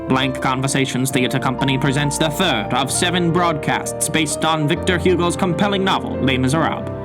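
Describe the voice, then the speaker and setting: A man serves as announcer for a radio play, reading a scripted introduction. His voice sits somewhat high for a man. Plucked guitar music is clearly heard behind him. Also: normal speed.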